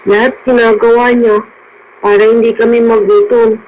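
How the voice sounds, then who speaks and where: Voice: medium pitch, flat delivery, moderate pace, darkish tone, natural voice, rough recording. An actor with a Filipino accent playing a sad, devoted child of a prisoner in a radio drama. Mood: sad, worried. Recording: radio drama with faint noise.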